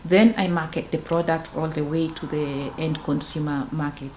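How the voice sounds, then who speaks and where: A woman from northeastern Uganda, speaking, who founded a farming project and talks in an earnest, measured way in a documentary interview. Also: deep voice, steady tone, darkish tone. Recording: echoey room, faint background noise.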